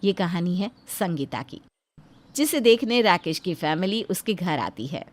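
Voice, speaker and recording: A woman's voice: on the low side, lively intonation, measured pace. A woman narrator telling a scripted domestic moral tale in a dramatic storytelling style.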